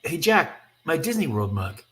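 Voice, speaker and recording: A man's voice: a little high, very animated, moderate pace, fairly bright tone. A man voicing an earnest, protective eldest boy from a comic, a little wistful. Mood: cautious.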